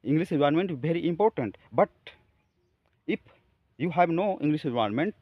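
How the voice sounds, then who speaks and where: A man from India with an Indian accent, a Hindi speaker, talking haltingly to camera about practicing English, with many fillers and restarts. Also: fairly high pitch, lively intonation, no echo, highs cut off. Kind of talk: casual talk to camera.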